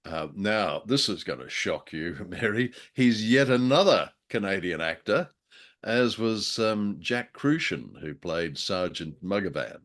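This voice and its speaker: A man with a very low voice co-hosts a casual review conversation. He talks in a wordy, hesitant way with a wry touch, feeling his way through his points. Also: animated, measured pace, fairly bright tone. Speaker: co-host of a radio drama review show.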